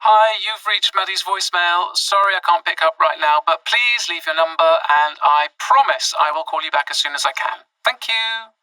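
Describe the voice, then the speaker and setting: A woman's voice: fairly low pitch, moderate pace, few pauses, sharp tone, recorded from a distance, low-quality recording. An actress playing a friendly, polite woman recording her voicemail greeting.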